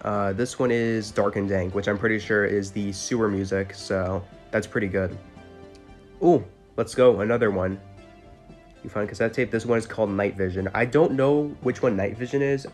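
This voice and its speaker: A man's voice: lowish voice, steady tone, normal speed, a little mellow. A man giving casual, slangy commentary over gameplay as a gaming video host. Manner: informal, slangy. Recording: music behind the voice.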